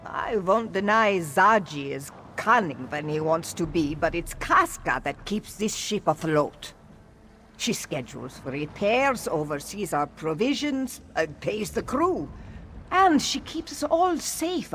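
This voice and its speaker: A woman's voice: fairly low pitch, very animated, measured pace, fairly bright tone, a little hiss or hum. A woman voicing a loyal, reflective veteran cat-folk sailor in scripted video game dialogue. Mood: fond. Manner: reflective, speaks of self in third person.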